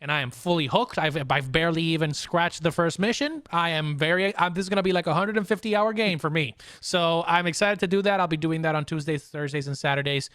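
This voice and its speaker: A man's voice: a little high, fairly quick, hardly any pauses, fairly bright tone, smooth, no echo. A man hosting a podcast in a casual, chatty style. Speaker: podcast host.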